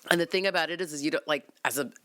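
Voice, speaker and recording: A woman with a very low, bright voice chats in an effusive, informal way. The sound is dry and close, like a casual podcast conversation. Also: rapid-fire, dry recording. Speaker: actual-play podcast cast member. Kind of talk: casual chat.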